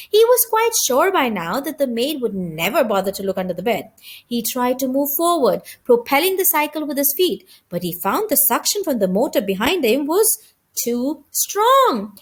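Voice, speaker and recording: A woman's voice: high-pitched, breathy edge. A woman reading a children's story aloud with a dramatic, emphatic delivery.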